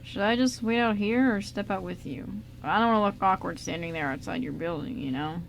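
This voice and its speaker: A woman's voice: mid-range voice, fairly quick, gravelly, slight background noise, lightly acted, low-quality recording. A woman playing a jittery, wisecracking young male housebreaker in an amateur radio drama, with a casual, teasing delivery. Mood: teasing, curious.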